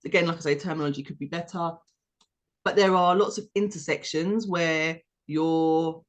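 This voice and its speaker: A British woman co-hosting a podcast, explaining her views in a measured, reflective way and building her points step by step. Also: low voice, steady tone. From United Kingdom. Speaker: podcast co-host.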